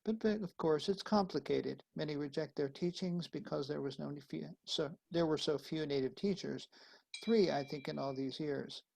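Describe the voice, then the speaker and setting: A man's voice: on the high side, moderate pace, vocal fry, a little mellow, low-quality recording. A man, a priest, reading a letter aloud and hosting guests in a sermon conversation on a video call. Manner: reads a letter aloud, then hosts and thanks guests. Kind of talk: live-streamed sermon conversation.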